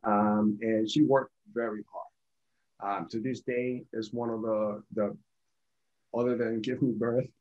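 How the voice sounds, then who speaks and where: A man, telling his personal story conversationally as a guest over a video call. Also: on the low side. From born in Venezuela, raised in Colombia. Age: 40s. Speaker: podcast guest. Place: small indoor home office. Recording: dull, lo-fi video call audio.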